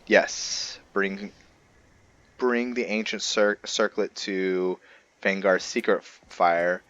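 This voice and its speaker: A man's voice: low-pitched, steady tone, takes it slowly, fairly bright tone, dry recording, highs cut off. A man giving casual gameplay commentary, thinking aloud and musing to himself as he goes. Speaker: gameplay video host.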